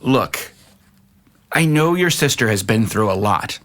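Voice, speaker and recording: A medium-pitched voice of a man acting as a middle-aged husband and father. He sounds reasonable and somewhat skeptical, with a stern edge, in audio drama dialogue. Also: expressive, a little slow, a bit bright. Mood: doubtful.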